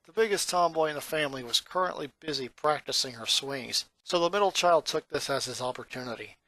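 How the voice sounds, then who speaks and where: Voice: mid-range voice, a little fast, pushed and bright, poor audio. A narrator reading a fan fiction story aloud as an amateur.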